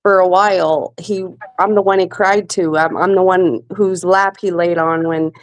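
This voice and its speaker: A woman's voice: fairly low pitch, steady tone, normal speed, no room sound, poor audio. A middle-aged woman on a video call, a panel guest talking bluntly and salty about herself. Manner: blunt, salty, self-mocking. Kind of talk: casual chat.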